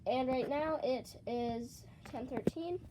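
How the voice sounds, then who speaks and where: Teen girl, stuffy cold voice, high-pitched, chatty excitable vlog, audible background noise. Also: slow. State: congested with a cold. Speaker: slime vlogger. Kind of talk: casual vlog chat.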